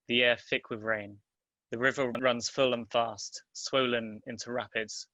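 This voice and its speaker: A man reading stage directions as scripted dramatic narration, in a measured, terse and deliberate way. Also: mid-range voice, bright, forceful tone, dry recording.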